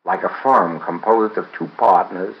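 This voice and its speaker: Deep-voiced man reading aloud, measured. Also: dull audio. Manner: measured, deliberate phrasing with frequent pauses. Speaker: reader of a book. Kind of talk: read-aloud audiobook of a spiritual text.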